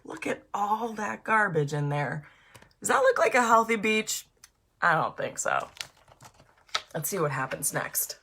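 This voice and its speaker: Bright-voiced woman reading a children's story. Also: medium pitch, unhurried, creaky, long pauses, slight background noise. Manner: animated, encouraging, asks the listeners questions. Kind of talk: children's story time read-aloud.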